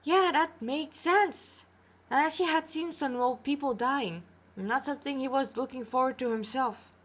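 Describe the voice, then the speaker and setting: A woman with a fairly high voice reads fiction aloud into a tablet. She sounds tired but narrates with drama, in the informal way of an amateur storyteller. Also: moderate pace. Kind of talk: narrated story reading with character dialogue. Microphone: tablet recording.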